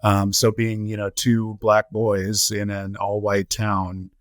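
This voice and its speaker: A middle-aged man with a fairly low voice speaks in a measured, reflective way, pausing often on ums and uhs as he answers questions. The sound is close and dry, with no room around it. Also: completely flat delivery, no echo. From United States. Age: 40s to 50s. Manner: measured, many filler "uh"s and "um"s. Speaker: podcast guest, nonprofit CEO.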